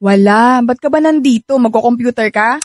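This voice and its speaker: An actress plays a sassy young woman in a radio drama. Her voice is high and closely recorded with little room sound. She is brusque and sarcastic, keeping her guard up while she teases. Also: moderate pace, dry recording, close to an everyday voice. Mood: casual, startled. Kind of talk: Filipino radio drama acting.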